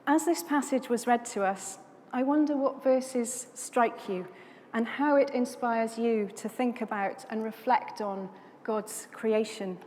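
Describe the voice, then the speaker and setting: A woman from South Wales giving a sermon as a guest speaker, in a measured, reflective way, phrase by phrase. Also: a little high, darkish tone. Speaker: guest preacher from a conservation charity. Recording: long hall reverb, background noise.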